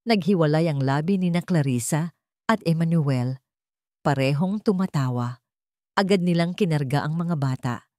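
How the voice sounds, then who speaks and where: A woman's voice: deep voice, normal speed, warm tone, no room sound, studio quality. A woman reading a scripted dramatic love story as its narrator.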